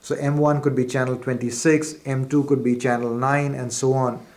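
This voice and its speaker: A man's voice: mid-range voice, little pitch movement, normal speed, darkish tone. A man presenting an unscripted instructional walkthrough as a product demonstrator, explaining methodically in fairly formal wording.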